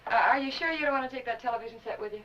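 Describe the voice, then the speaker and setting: A woman playing a gentle, anxious wife caring for an ill husband, kindly and motherly, in an old-time dramatic style. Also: on the high side, very fast, slight background noise, lightly acted. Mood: kindly, cheerful. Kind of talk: old-time dramatic acting with confiding narration. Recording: dull vintage recording, music underneath.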